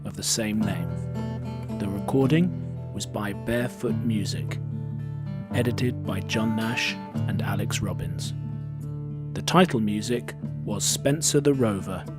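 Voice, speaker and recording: A man's voice: lowish voice, a little slow, pauses often. A man reading scripted credits and title announcements for an audio production. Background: guitar music.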